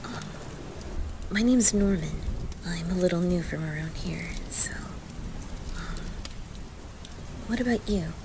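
A gentle anime boy, played by a woman, speaks slowly in a soft, breathy near-whisper. He is caring and hesitant, pausing often, in an ASMR roleplay with noisy rain ambience behind him. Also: fairly low pitch. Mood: curious, hesitant. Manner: hesitant, quiet, with pauses.

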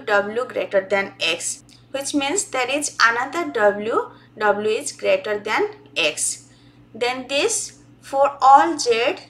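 Slow, bright-voiced woman tutor. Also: fairly high pitch. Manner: slow, deliberate reading of formulas. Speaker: exam-prep tutor. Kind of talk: step-by-step lesson walkthrough.

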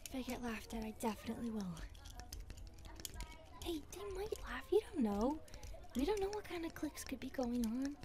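A woman's voice: high-pitched, very animated, moderate pace, pauses often. A woman voicing a nervous, chatty young grasshopper girl full of self-doubt in an ASMR roleplay. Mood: anxious, doubting. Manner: hesitant, chatty. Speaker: roleplay voice actress.

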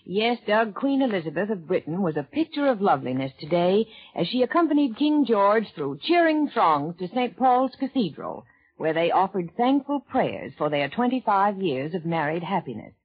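A woman's voice: mid-range voice, very animated, moderate pace, husky. An American woman presenting scripted women's news in a 1940s radio style. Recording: muffled 1940s radio broadcast recording.

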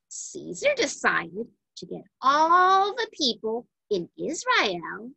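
A woman reading a children's Bible storybook aloud in a deliberate, emphatic way for young listeners. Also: on the high side, gravelly, pushed and bright, slightly rough, dry recording, rough recording.

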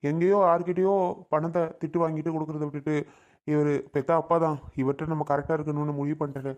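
A man's voice: a little high, little pitch movement, fast talker, darkish tone, dull audio, close to an everyday voice. A man playing a harried brother in a colloquial family drama about everyday troubles. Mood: uneasy, confiding. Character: harried adult brother in a family money dispute.

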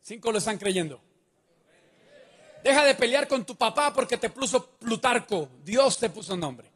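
High-voiced preacher, emphatic. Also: male voice, expressive, moderate pace, fairly bright tone, breathy edge. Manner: emphatic, fond of rhetorical questions to the congregation. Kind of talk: sermon. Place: large church stage with a band set-up. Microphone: handheld mic. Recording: reverberant church hall.

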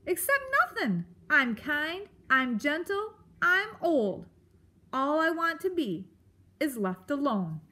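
Woman acting a blustering, high-pitched old porcupine grouch. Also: big pitch swings, measured pace, a bit bright, full character voice. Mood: exasperated. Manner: blustering, exclamatory, colourful insults. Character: cantankerous old male porcupine, loud, crude, secretly gentle.

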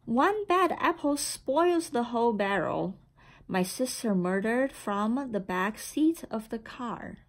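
A woman reading a children's picture book aloud in a deliberate, expressive storytelling manner. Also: fairly high pitch. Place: indoor room with framed certificates on the wall.

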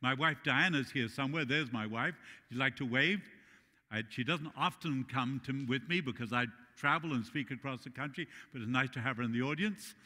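A middle-aged man from Uruguay with a Spanish accent, preaching an animated sermon with jokes as guest speaker in a large church. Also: medium pitch, fairly quick. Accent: Latin American Spanish. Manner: animated, gesturing widely while walking the stage. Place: large church sanctuary stage. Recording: long hall reverb.

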